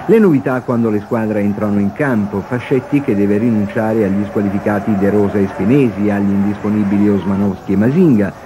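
A man's voice: on the low side, a little fast, hardly any pauses. A man reading a scripted football match report as a television sports reporter. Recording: noisy archive TV broadcast.

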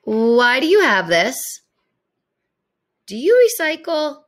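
A woman's voice: sits high, sing-song, takes it slowly, full character voice. A woman voicing a small, childlike baby tooth from a storybook, eager and full of questions. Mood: curious.

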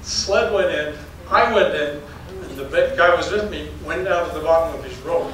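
A man's voice: fairly high pitch, moderate pace. An older man giving a storytelling slide talk as a professor, folksy and anecdotal, chuckling between stories. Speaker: geology professor giving a public lecture. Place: darkened lecture hall with audience. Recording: very echoey hall, distant mic, faint noise.

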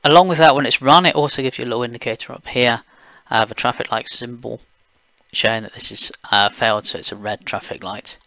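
A man from the UK talking through a software screencast step by step, plain and explanatory, with occasional fillers. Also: medium pitch, steady tone, a little fast, no echo. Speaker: software engineer giving a tutorial. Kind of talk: screencast walkthrough voice-over.

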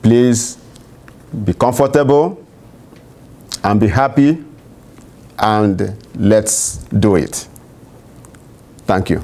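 A man's voice: lowish voice, very slow, pauses often, noisy background. An older man giving a brief, simple welcome to an audience in an indoor meeting room. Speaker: event speaker.

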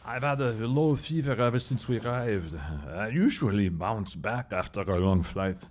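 A man acts a weary, unwell missionary husband in a radio drama. His voice is on the low side, and he talks in a mild, subdued way without hurry. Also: normal speed, darkish tone, lightly acted. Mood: concerned.

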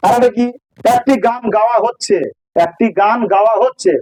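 High-pitched Bengali male teacher. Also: steady tone, a little fast, darkish tone, no room sound, rough recording. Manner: didactic, repeats phrases for emphasis. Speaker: English grammar teacher. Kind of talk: informal grammar lesson, explaining with examples.

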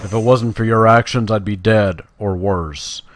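A man's voice: lowish voice, fairly even intonation, measured pace, close to an everyday voice. Man reading as an earnest fantasy druid warrior. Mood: solemn, vowing.

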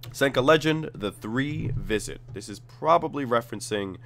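A man with a cold and a slightly raspy voice, casually reading card text aloud and reacting as he reviews cards at his computer. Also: medium pitch, lively intonation, moderate pace, a bit bright. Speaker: card game tutorial host.

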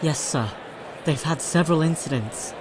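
An actor playing a deferential messenger with urgent news in a fantasy audio drama, formal and hurried. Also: male voice, fairly high pitch, noisy background, lightly acted.

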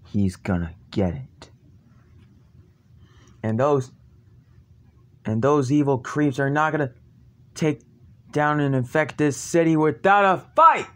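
A man voicing a determined young hero in an amateur action story, with halting, dramatic phrasing. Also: medium pitch, a bit bright. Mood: grave, wary, then defiant. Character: determined young male hero vowing to fight evil. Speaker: amateur voice actor. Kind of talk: hobby voice lines for a fan zombie action story.

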